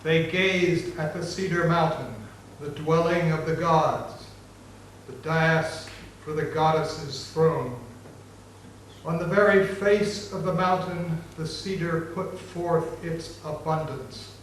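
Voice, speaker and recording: A man narrating an ancient epic as a dramatic reading, solemn and measured. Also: a little high, steady tone. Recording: very echoey, distant, noisy room recording.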